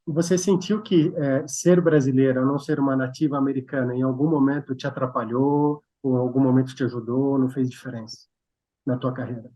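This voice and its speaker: A man's voice: medium pitch, steady tone, fairly quick, dark tone, poor audio. A man interviewing a guest, asking long, rambling questions with restarts and tag questions. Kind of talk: interview conversation.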